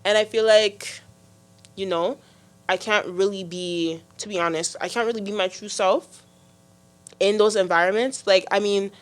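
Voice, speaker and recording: A teenage girl with a deep voice, hosting a youth podcast in casual talk, full of fillers and restarts. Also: steady tone, normal speed. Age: teens. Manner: chatty, many fillers and restarts. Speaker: podcast host. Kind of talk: casual discussion.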